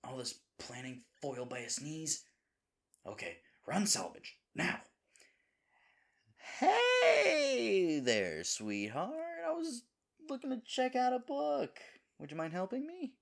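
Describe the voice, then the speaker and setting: A man's voice: sits high, wildly swooping pitch, slow pace, vocal fry, fairly bright tone, full character voice. A man playing a devoted, goofy boyfriend nervous about proposing, rambling and chiding himself earnestly in a scripted romantic audio roleplay. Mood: nervous, self-coaching, then flustered, sweet-talking.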